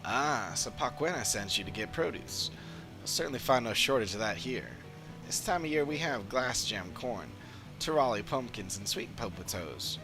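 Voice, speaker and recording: Man voicing a helpful farmhand over game music. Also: mid-range voice. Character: helpful, courteous farm produce worker, game character. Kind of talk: read-aloud video game dialogue.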